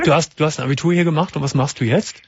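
A man hosting a late-night call-in radio show, calm and affirming, often murmuring short agreements. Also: on the high side, rapid-fire, breathy edge. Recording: dull radio broadcast sound.

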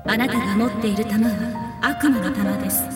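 A woman playing a solemn, gentle, otherworldly mother in an anime, speaking in a formal, grave, measured way. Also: on the high side, close to an everyday voice. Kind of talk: anime voice acting. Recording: echoey distant reverb, loud music behind.

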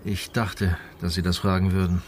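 A man playing a calm, methodical police inspector in a radio crime drama, speaking in a measured, matter-of-fact way. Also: deep voice, slight background noise, close to an everyday voice. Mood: thoughtful, measured. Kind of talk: naturalistic German radio drama dialogue.

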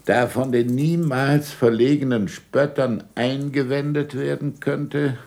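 An actor playing an older, sardonic and imperious scholar in a German radio drama, dictating deliberately with irony and curt commands. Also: male voice, medium pitch, steady tone, creaky, a little mellow. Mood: dictating, ironic.

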